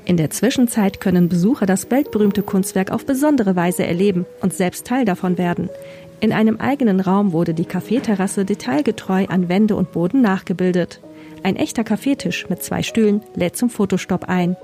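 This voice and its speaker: A woman's voice: medium pitch, normal speed, mellow, faint music behind. A woman working as a radio reporter, reading scripted narration.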